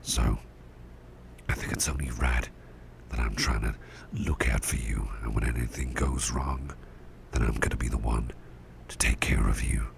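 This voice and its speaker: A man playing a caring, gentle boss in an ASMR roleplay, murmuring tender reassurance in breathy whispers with a gravelly rumble. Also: fairly even intonation, normal speed, exaggerated voice. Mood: affectionate. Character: caring, gentle male boss soothing a cursed employee.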